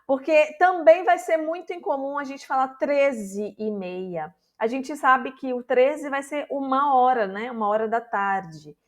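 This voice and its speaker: A woman's voice: high voice, unhurried, hardly any pauses. A woman with a Brazilian accent teaching an online lesson, explaining patiently and often checking in with her viewers. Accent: Brazilian Portuguese. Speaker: Portuguese teacher.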